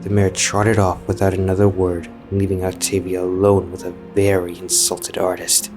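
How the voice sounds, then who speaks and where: A man's voice: low-pitched, completely flat delivery, moderate pace. A man narrating a scripted story as a storyteller. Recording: music behind the voice.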